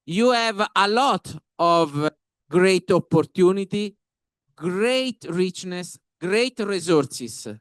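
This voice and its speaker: A man with an Italian accent gives panel remarks in a smooth, rather high voice. He moves slowly, phrase by phrase, in halting but emphatic non-native English. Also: dry recording. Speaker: professor, panel speaker.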